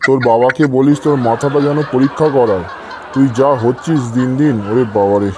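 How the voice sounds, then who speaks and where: A man's voice: medium pitch, fairly quick, music in the background, close to an everyday voice. A man playing an exasperated, scolding schoolteacher in a comedy cartoon. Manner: scolding, threatening, theatrical. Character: exasperated male village schoolteacher scolding cheeky pupils. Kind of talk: comedy cartoon dialogue in Bengali.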